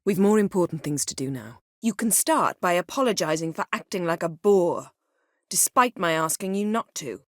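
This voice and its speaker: A woman voicing a proud, sharp-tongued sorceress in a video game, speaking crisp, formal with an imperious, sardonic manner. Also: fairly low pitch, lively intonation, moderate pace, dry recording, clean studio sound, lightly acted. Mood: cool, commanding. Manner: crisp, formal, haughty and biting. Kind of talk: video game character dialogue.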